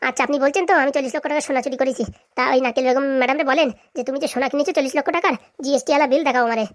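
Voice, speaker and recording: A woman plays a cheeky cartoon villager. The words pour out extremely fast in long, sarcastic, indignant arguments that talk back to authority. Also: high voice, steady tone, dry recording, studio quality, close to an everyday voice. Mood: defiant. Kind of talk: comic cartoon dialogue.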